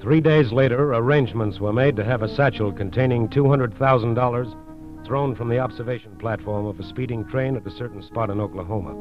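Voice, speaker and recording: An actor with an American accent as a solemn narrator, delivering dramatic scripted 1940s radio crime narration. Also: male voice, medium pitch, a little fast, lightly acted. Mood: grave, dramatic. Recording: muffled old radio broadcast. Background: dramatic music.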